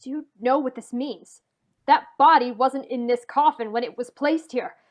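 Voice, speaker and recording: A woman playing an anxious, insistent man in old-time radio drama, halting and pleading as the character. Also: high-pitched, lightly acted. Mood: alarmed, insistent. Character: anxious, insistent man defending his wife.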